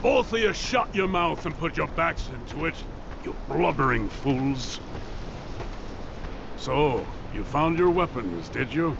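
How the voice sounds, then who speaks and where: A man playing a gruff, bossy northern soldier in a video game, with a gravelly voice and brusque, commanding manner. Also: fairly high pitch, expressive, slow, noisy background, heavily acted. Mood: scolding. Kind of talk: video game cutscene acting. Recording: muffled audio under loud music.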